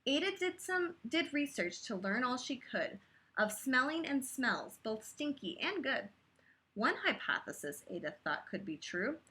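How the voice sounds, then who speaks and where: A woman reading a children's picture book aloud expressively, pausing to chat to her young listeners about the story. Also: high-pitched, husky. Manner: animated, pauses to comment and ask listeners questions.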